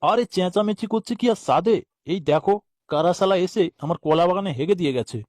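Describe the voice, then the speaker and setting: Man voicing a high-pitched grumpy cartoon banana farmer. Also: lively intonation, fairly quick, darkish tone, no room sound, clean studio sound. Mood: indignant. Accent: West Bengali. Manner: grumbling, swearing. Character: irritable, foul-mouthed village banana farmer, adult man. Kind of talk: comic cartoon dubbing.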